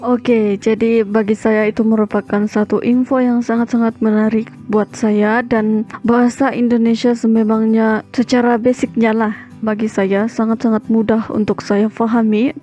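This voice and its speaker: A young woman with a Sabahan Malay accent chats through her reaction to a clip, slipping English words in here and there. Her voice sits a little high but sounds mellow and warm. Faint music plays beneath her. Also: level tone, moderate pace, dull audio. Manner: chatty, mixes in English phrases. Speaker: reaction video host. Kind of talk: casual reaction commentary.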